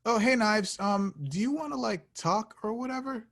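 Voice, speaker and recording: A man's voice: high-pitched, moderate pace, creaky, fairly bright tone. A man playing an awkward, sheepish young slacker in a comedy table read, talking in a casual, hesitant way.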